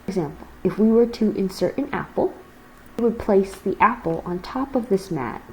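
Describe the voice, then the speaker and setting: A woman's voice: mid-range voice, lively intonation, moderate pace, warm tone, slight background noise, rough recording. A young woman presenting a product model and guiding listeners through its parts. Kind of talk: product demonstration.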